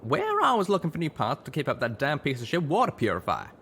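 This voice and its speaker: A man's voice: on the high side, huge pitch swings, fast talker, fairly bright tone, a little hiss or hum, pitched above the performer's own voice, full character voice. A man voicing a brash, foul-mouthed pony townswoman with a blunt, colloquial manner for a story reading. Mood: gruff complaining. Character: brash, foul-mouthed adult mare townswoman, proud and rough.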